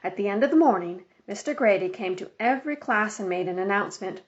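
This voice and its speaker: A woman's voice: mid-range voice, lively intonation, quick pace, lo-fi sound. A woman reading a children's story aloud as scripted audiobook narration. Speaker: audiobook reader.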